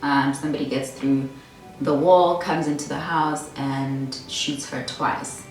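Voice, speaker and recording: A woman's voice: extremely low pitch, steady tone, unhurried, very echoey, far from the mic, faint music behind, low-quality recording. A young woman with a Botswana accent, casually chatting and storytelling while doing her makeup, full of "like" and "my girl". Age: young adult. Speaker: beauty vlogger. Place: small bedroom indoors.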